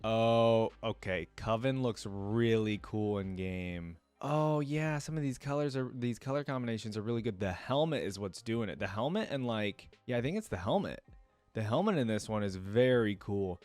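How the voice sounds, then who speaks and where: A man's voice: fairly low pitch, lively intonation, normal speed, a little mellow, smooth, no echo, faint music behind. A man hosting a reaction video, talking casually and thinking aloud as he addresses his viewers.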